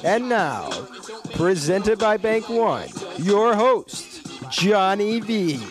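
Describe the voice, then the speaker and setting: A man's voice: sits high, animated, drawn-out words. A man announcing the introduction of a show, with hip hop music behind him.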